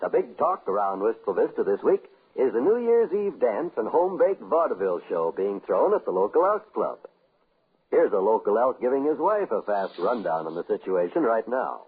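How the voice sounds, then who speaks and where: A man's voice: lowish voice, sing-song, normal speed, breathy edge. A man announcing a comedy show with a scripted 1950s radio introduction. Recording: muffled, narrow old radio recording.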